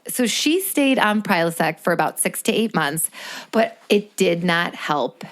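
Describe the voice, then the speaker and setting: A woman with a somewhat low voice co-hosts a casual podcast. She tells the story with animation and laughs easily along the way. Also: expressive, measured pace. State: voice sometimes raspy from reflux. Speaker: podcast co-host.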